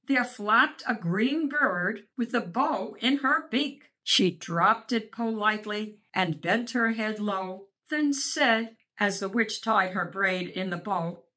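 A woman reading a children's picture book aloud, expressive and sing-song as a channel host. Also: mid-range voice. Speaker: storybook reader, channel host.

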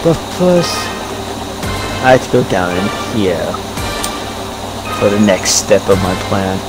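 A man improvising in-character dialogue in a game roleplay, halting and repeating his phrases. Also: sits low, sing-song, over loud music, low-quality recording. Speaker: player in an online game roleplay.

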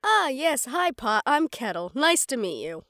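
A woman's voice: sits high, very animated, measured pace, fairly bright tone, no room sound, clean studio sound, exaggerated voice. Sarcastic, defiant goddess, acted by a woman. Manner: sarcastic, teasing, stubborn. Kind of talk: audio roleplay drama acting.

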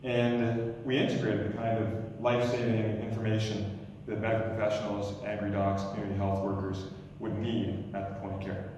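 A man in his thirties, an emergency doctor, giving a loud, energetic startup pitch in a lecture hall with frequent restarts. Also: normal speed, hardly any pauses, a little hiss or hum. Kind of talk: pitch presentation. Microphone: stand mic, recorded from far camera. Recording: echoey lecture hall from far off.

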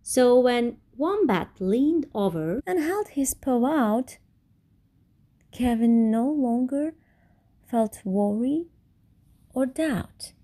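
Slow, lilting woman reading a picture book. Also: fairly high pitch, animated, mellow. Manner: deliberate, theatrical emphasis on key words. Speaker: storybook reader. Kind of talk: expressive read-aloud of a children's picture book.

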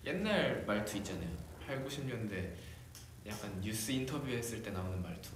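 A man's voice: fairly low pitch, expressive, fairly quick. A young man chatting casually on a livestream from a decorated room, musing aloud, answering fan comments and laughing often. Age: young adult. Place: decorated room, indoors, at a table. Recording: very echoey room, faint background hiss.

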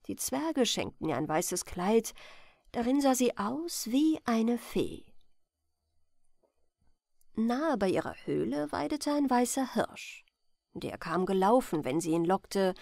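A woman reading a bedtime fairy tale as storyteller, in a gentle, expressive manner. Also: a little high, creaky, no echo, studio quality.

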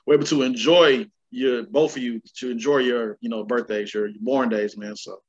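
A man's voice: mid-range voice, fairly even intonation, moderate pace, highs cut off. Easygoing man hosting an interview. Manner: easygoing, says "man" often. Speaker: host. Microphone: video call.

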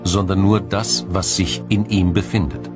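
A man narrating a scripted science documentary in a measured, formal, explanatory manner. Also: low-pitched, fairly even intonation, over music.

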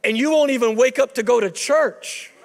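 A man's voice: very high voice, vocal fry, a little hiss or hum. A man in his thirties preaching a chapel sermon into a handheld mic, conversational with emphatic peaks. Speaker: chapel speaker. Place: large dark auditorium stage at a lectern. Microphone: handheld microphone. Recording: long reverb of a large hall.

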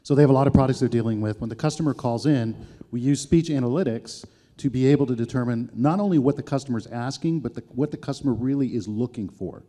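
A man's voice: mid-range voice, fast, warm tone. A man on a conference panel, explaining ideas patiently through simple examples. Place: conference stage with audience. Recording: reverberant event hall.

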